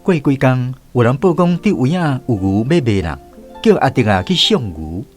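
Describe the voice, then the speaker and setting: Animated Taiwanese man narrating a story. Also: mid-range voice, normal speed, faint music behind. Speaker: radio drama narrator. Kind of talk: scripted storytelling narration in a radio drama.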